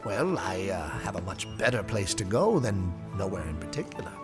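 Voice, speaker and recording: An actor playing a whimsical, eccentric bookshop owner in an audio drama, theatrical and playfully erudite. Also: male voice, deep voice, very animated, moderate pace, over music. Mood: knowing, earnest.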